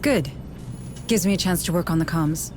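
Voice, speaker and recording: A woman playing an earnest, slightly strained colony official in a game, measured and businesslike. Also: natural voice. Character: earnest, strained, composed female colony administrator. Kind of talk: video game cutscene acting. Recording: game audio with clear background rumble.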